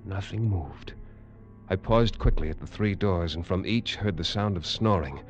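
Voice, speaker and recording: An actor playing a tough American detective in an old radio drama, narrating in clipped, hard-boiled lines. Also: male voice, low voice, normal speed, soft background music, natural voice. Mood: tense. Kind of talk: 1950s radio drama acting. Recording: dull old radio recording.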